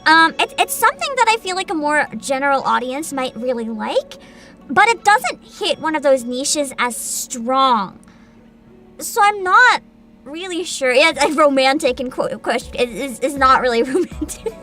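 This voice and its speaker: A young woman chats on a livestream in a high, bright voice that leaps all over the place. She laughs often, stumbles through restarts, and plays up a joking company-boss act. Soft music sits faintly underneath. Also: moderate pace. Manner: giggly, animated, frequent laughter and restarts; playful mock-CEO boasting. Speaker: vtuber streamer. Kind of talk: casual livestream chat answering chat.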